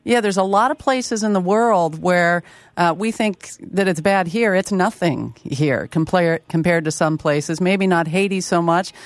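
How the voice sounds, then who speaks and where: A woman hosting a talk radio show, with clear, steady presenter delivery in a studio. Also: fairly low pitch, unhurried, no room sound. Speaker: radio host. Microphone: studio microphone.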